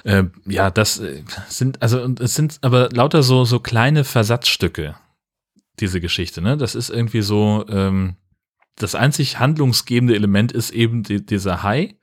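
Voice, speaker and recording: Man, slightly low voice, wry, conversational, casual podcast co-host. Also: normal speed. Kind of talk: casual film podcast chat.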